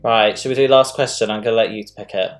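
A woman's voice: very deep voice, fairly even intonation, fast talker, faint music behind. Chatty woman host. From London. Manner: chatty, lots of fillers. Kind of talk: casual live-stream chat.

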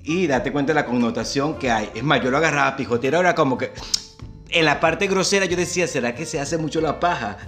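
A man's voice: fairly high pitch, brisk, fairly bright tone, faint music behind. A man from Venezuela with a Venezuelan accent, chatting casually, telling stories and laughing often. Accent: Venezuelan Spanish. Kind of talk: casual chat.

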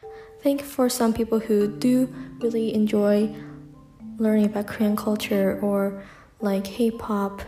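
Young american woman podcasting solo, mellow voice, lots of likes and ums, echoey room with faint music. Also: mid-range voice, little pitch movement, takes it slowly. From United States. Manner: reflective, frequent "like" and "um" fillers. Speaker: podcast host. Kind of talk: personal solo podcast monologue.

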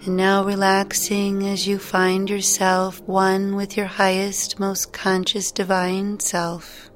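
A woman guiding a meditation in a gentle, soothing, unhurried way, leaving long pauses between phrases. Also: mid-range voice, monotone. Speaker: meditation guide. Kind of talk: guided meditation narration. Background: music.

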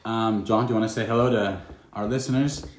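A man hosting a podcast interview in a relaxed, hesitant way, with plenty of fillers. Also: fairly low pitch, fairly quick, reverberant, a little hiss or hum. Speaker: podcast host.

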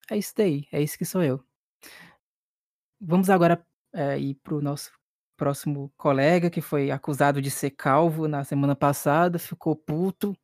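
A man's voice: fairly high pitch, darkish tone, dry recording, studio quality. A man with a Brazilian accent chatting casually as a player and co-host on a role-playing podcast.

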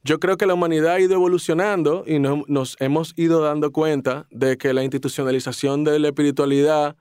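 A man talks in a fairly high, smooth and clear voice. He muses in a reflective way, and the recording is dry and close. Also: steady tone, brisk, a little mellow, no room sound. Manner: reflective, thoughtful with many restarts. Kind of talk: casual podcast conversation.

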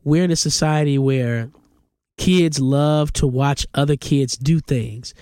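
A man's voice: medium pitch, slow pace, a little mellow, clear, resonant tone, no echo, studio quality. A congested man in his forties hosting a solo podcast, giving emphatic, opinionated commentary. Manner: opinionated, emphatic, rising to exclamations. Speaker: podcast host.

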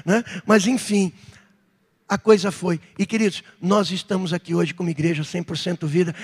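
Man, Brazilian Portuguese accent, pastor, sermon, husky high voice, long reverb. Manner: fervent preaching with rising outbursts, then softer reflective stretches. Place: church hall.

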